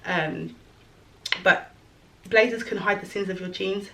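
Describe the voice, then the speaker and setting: A woman in her thirties, chatting casually about her clothes as a fashion vlogger, rambling and correcting herself as she goes, her voice going a little hoarse. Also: mid-range voice, measured pace, pushed and bright, far from the mic, rough recording. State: losing her voice. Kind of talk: casual fashion try-on chat.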